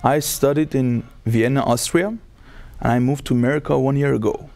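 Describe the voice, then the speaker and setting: A man's voice: medium pitch, moderate pace, vocal fry, darkish tone. A man born in Iran whose first language is Armenian, an opera tenor answering interview questions hesitantly, searching for words.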